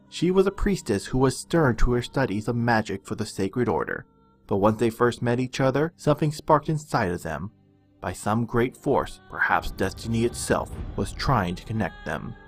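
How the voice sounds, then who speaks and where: A man giving a dramatic, measured narration of a fantasy storybook tale. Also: fairly low pitch.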